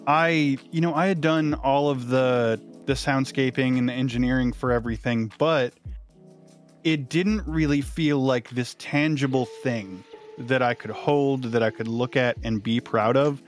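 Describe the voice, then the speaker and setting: Male podcast host, medium pitch, casual conversational delivery over music. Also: fairly even intonation, a little slow. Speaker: podcast host and audio drama creator. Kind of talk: casual behind-the-scenes chat.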